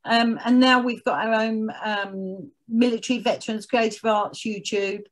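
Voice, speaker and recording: A woman speaking as an interview guest, chatting casually in a hesitant, halting way. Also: a little high, fairly even intonation, low-quality recording. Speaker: guest, head of a veterans' arts organisation.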